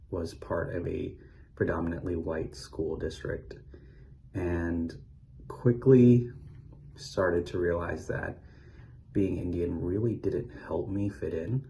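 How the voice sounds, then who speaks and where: A man's voice: low voice, creaky, mellow, slight background noise. A man from Philadelphia thoughtfully recalling his childhood, with frequent ums. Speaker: interviewee. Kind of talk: personal recollection.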